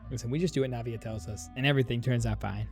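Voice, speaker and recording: Very low-voiced woman reacting to a game. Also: rapid-fire, darkish tone. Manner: slangy, informal. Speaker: streamer. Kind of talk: casual gameplay reaction commentary. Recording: game music behind.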